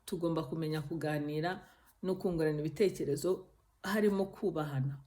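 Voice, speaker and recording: A woman, a Kinyarwanda speaker, hosting her own channel and talking earnestly to camera, pausing often with fillers. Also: low-pitched, warm tone. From Rwanda. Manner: earnest, hesitant with frequent fillers. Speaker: YouTube channel host. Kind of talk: casual talk to camera.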